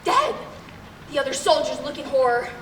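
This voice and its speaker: A woman playing an excitable radio reporter in a melodramatic stage radio drama about disaster. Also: high-pitched, normal speed, distant mic. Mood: dramatic awe. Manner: melodramatic, breathless announcing. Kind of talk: mock 1930s radio drama acting on stage. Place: stage in a large hall. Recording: echoey noisy hall.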